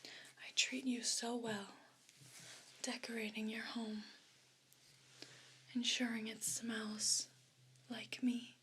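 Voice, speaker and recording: A woman voicing a vain, pompous evil house cat in a breathy whisper for an ASMR role play. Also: a little high, flat delivery, pushed and bright, slight background noise. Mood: smug, condescending. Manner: pompous, deliberate, formal wording.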